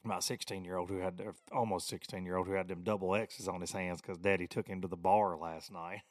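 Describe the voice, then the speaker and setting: A hoarse man in his forties with a Tennessee Southern accent, hosting a casual podcast in a folksy, rowdy way with loud bursts. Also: low voice, steady tone, a little fast, no echo. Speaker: podcast host. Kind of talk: casual solo podcast monologue.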